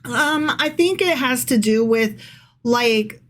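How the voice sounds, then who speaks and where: A woman's voice: a little high, lively intonation, slow, a bit bright, a little hiss or hum. Irreverent woman podcaster, casual and blunt. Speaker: podcast co-host. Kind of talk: casual Bible discussion with some reading aloud.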